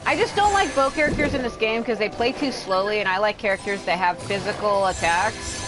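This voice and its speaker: A woman gives casual commentary over her gameplay, with music clearly audible behind her. Her voice sits at an average pitch for a woman. She rambles and grumbles bluntly, with plenty of swearing. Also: a little fast, rough recording. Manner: rambling, complaining. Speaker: livestreamer playing a video game.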